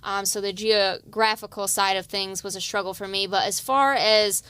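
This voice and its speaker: A woman's voice: mid-range voice, steady tone, normal speed, fairly bright tone, clear, resonant tone, dry recording. Light-voiced woman police officer. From West Virginia. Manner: light, youthful voice. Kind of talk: casual interview.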